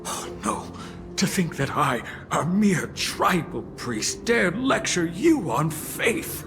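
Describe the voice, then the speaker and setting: Devout priest, slow n solemn, really swoops around, game dialogue. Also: male voice, high-pitched, very animated, full character voice. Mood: shocked, contrite. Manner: solemn, formal, dramatic. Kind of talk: video game voice acting. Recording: loud game music underneath.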